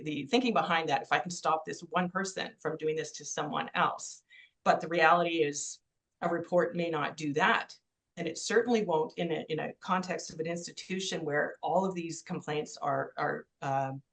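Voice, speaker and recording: A woman from Canada giving an earnest, emphatic webinar talk, stressing key points with pauses. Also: fairly low pitch. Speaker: webinar presenter. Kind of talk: prepared presentation.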